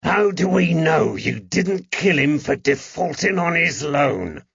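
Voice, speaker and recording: A man voicing a gruff, furious police constable who accuses and interrogates in an audiobook. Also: fairly high pitch, expressive, slow, a bit bright, no room sound, dull audio, voice pushed up, exaggerated voice. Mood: furious, accusing. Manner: stern, accusing, barking. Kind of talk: audiobook character acting.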